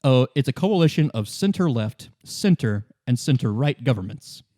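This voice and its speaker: A man playing a worldly, composed female company representative in a tabletop roleplay, explaining things in a halting, world-weary way. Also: mid-range voice, sing-song, mellow, no room sound, studio quality, natural voice. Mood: explanatory. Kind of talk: in-character tabletop roleplay exposition.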